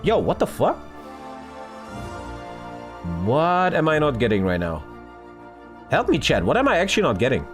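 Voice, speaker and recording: A young man streams a strategy game live, his voice leaping all over the place as he rants. He swears loudly and talks straight to his chat, with game music faint under him. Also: on the high side, huge pitch swings, normal speed, long pauses.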